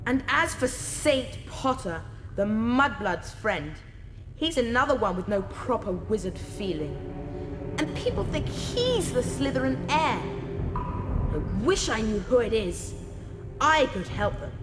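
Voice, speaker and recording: A woman's voice: a little high, sing-song, takes it slowly, noisy background. A woman playing a sneering, snobbish British schoolboy wizard bully in a video game, haughty and scornful. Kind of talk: video game cutscene acting. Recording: echoing hall, music behind.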